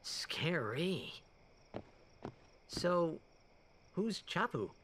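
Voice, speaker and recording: Boyish young hero, higher-pitched. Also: male voice, sing-song, extremely slow, a bit bright, full character voice. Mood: subdued, curious. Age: young adult. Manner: hesitant, boyish. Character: boyish, earnest, restless young athlete hero. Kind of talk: video game cutscene acting.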